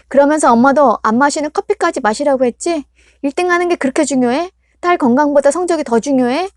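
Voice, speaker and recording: A bitter, sharp-tongued teenage girl, as a woman reader puts her on, her voice pitched high and pushed loud. Every line comes out as a sudden, accusing outburst in a dry, close storybook reading. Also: dry recording. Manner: accusing, snapping, rapid outbursts.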